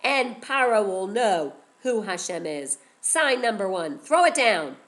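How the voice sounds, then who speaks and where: A woman voicing a powerful male divine voice speaking from a burning bush, slow, loud and commanding. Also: mid-range voice, very animated.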